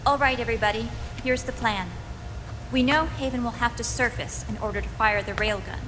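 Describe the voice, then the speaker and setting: An actress playing a composed, authoritative female commander in a video game, briefing in a measured, businesslike way. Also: a little high, background noise, close to an everyday voice. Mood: commanding, resolute. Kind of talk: video game cutscene acting. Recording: long echoing hall, music underneath. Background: music.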